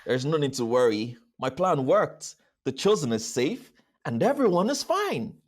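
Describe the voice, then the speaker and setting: A woman voicing a cocky, boastful young boy adventurer in an animated series, with a brash manner. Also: low-pitched, normal speed. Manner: brash, bragging. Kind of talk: animated series table read.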